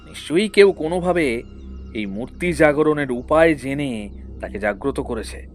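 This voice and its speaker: An actor playing a grave, knowledgeable man who recounts dark legends in a measured, solemn way in an audio drama. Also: medium pitch, expressive, faint music behind, lightly acted. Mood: serious, explaining. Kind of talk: dramatic Bengali audio story acting.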